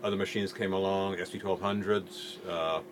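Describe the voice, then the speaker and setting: A middle-aged man from England, raised in Jamaica, giving relaxed interview answers in an easygoing, hesitant way. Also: low-pitched, normal speed, a crowd in the background. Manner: easygoing, hesitant with many ums. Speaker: music producer guest.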